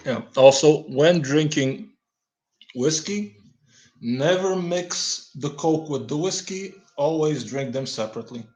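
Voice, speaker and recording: A man whose first language is Bulgarian reads a dense text aloud in a slightly high voice, halting and restarting words as he goes. He breaks off now and then with deadpan, wry remarks. The recording sounds roomy and echoey. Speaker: channel host reading a text aloud. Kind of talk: halting read-aloud with dry asides.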